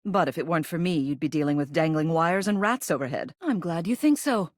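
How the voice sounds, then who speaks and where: A grand, theatrical lady arts critic from a video game, a living ceiling with opinions on everything. Her voice sits a little low and swings expressively up and down, lingering on key words. She is arch and haughty but plainly fond, recorded dry with no room sound. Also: expressive, a little fast, dry recording. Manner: theatrical, arch, drawling emphasis. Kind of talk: video game character voice acting.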